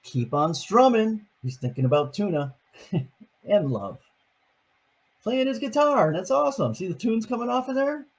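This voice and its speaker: A man's voice: high voice, very animated, unhurried, husky. An older man, a grandfather, reading a picture book aloud to his grandchildren and chatting about each page. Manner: chatty, explains each page in plain words.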